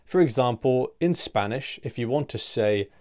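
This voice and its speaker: A man teaching English in a clear, deliberate scripted lesson, asking rhetorical questions and trailing softer at the ends of phrases. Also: mid-range voice, animated.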